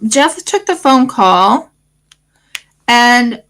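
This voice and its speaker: Australian woman, craft-stream host, gravelly scratchy voice, loose rambling chat. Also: a little high, lively intonation, extremely slow, fairly bright tone. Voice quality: scratchy morning voice. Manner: rambling, fillers, restarts. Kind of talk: casual livestream chat.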